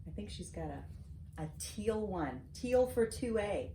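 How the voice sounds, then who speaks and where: An American woman in middle age reads aloud to a young child in an expressive, teacherly way, often checking in with questions. Her voice is mellow and relaxed. The recording has a roomy echo and noticeable background noise. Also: medium pitch, slow. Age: middle-aged. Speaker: host reading to her granddaughter. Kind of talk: storybook read-aloud with casual asides to a child. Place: home library, seated at a desk.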